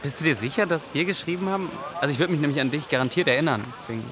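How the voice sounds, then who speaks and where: A man's voice: fairly high pitch, expressive, very fast, crowd noise, lightly acted. A man playing an awkward twenty-two-year-old who talks hesitantly and stumbles over his words in a radio drama. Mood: flustered. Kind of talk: naturalistic German radio drama dialogue.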